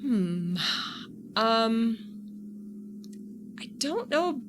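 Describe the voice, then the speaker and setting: A woman's voice: a little high, big pitch swings, very slow. A woman who develops game mods, giving casual, reflective answers in a conversational interview style. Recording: clearly noisy background.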